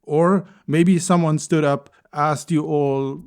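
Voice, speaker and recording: A man calmly delivering a reflective spoken essay, telling a personal story at a measured pace with deliberate pauses. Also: a little high, smooth. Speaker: speaker and consultant.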